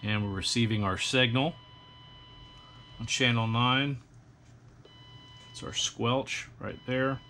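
A middle-aged American man narrating an instructional bench review in a measured, precise technical manner. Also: medium pitch, sharp tone, a little hiss or hum. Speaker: host, radio equipment reviewer. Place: indoor electronics workbench.

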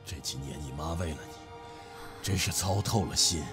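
Stern patriarch for radio play, male actor: very low, deliberate, weighty, softening underneath, prominent music bed. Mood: stern, relenting. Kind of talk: Chinese audio drama acting. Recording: background music under the dialogue.

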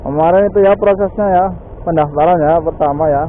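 A man's voice: a little high, brisk. A man chatting casually while riding a motorbike through city streets, filling his talk with "ya" and "guys". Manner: chatty, lots of "ya" and "guys" fillers. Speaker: motovlog host. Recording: tinny, phone-like with faint traffic noise.

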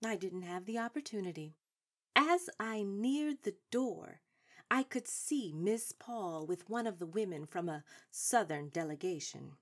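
A proud, seasoned suffragette of the 1910s in a radio drama. Her voice sits at a middle pitch, and she speaks in formal, declarative sentences with shrewd authority. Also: lively intonation, a little slow. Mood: composed, resolute. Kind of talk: stage-trained radio drama acting.

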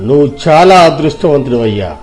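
A man's voice: on the high side, animated, a little fast, darkish tone, faint music behind, close to an everyday voice. A man playing a wise, kindly middle-aged village uncle in a dramatized moral story, speaking earnestly and moralizing. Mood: cheerful, encouraging.

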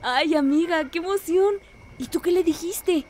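A woman's voice: very high voice, moderate pace. A woman playing a cheerful, studious teenage village girl in a radio drama, talking in a casual, youthful way. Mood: playful, friendly.

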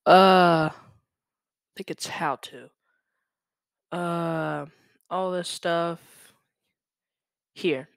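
A young man talks through a software tutorial at a slow pace. His delivery is informal and halting, as he thinks aloud, and the sound is dry and close, with no room echo. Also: on the high side, fairly even intonation, creaky, clear, resonant tone. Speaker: tutorial presenter. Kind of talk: casual screen-recorded software tutorial.

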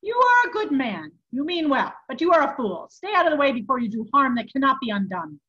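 A woman acting a booming, furious giant monk. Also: big pitch swings, fairly quick, muffled recording. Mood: stern, scolding. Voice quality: booming, forceful. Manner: commanding, scolding, emphatic. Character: huge fiery Italian monk, booming, furious, commanding old prior.

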